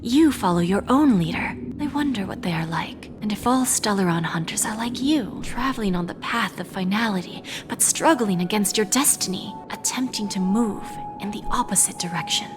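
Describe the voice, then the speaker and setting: An actress playing a calm, calculating female pawnbroker in a video game, speaking softly in a measured, polite and quietly menacing way. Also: mid-range voice, natural voice. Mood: inviting, sly. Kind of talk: scripted video game dialogue. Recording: game audio with music behind.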